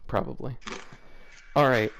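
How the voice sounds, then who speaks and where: A man's voice: medium pitch, fairly even intonation, measured pace, vocal fry, a bit bright, a little hiss or hum. Joking man reading fanfiction aloud. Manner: amused, laughing, mocking asides. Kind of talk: casual reading with joking commentary.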